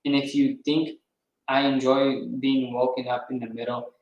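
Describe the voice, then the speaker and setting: A man voicing an irritable woman woken by a late-night call, who snaps and nearly yells. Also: mid-range voice, level tone, moderate pace, very echoey, highs cut off, lightly acted. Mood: irritated. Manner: snappish, near yelling.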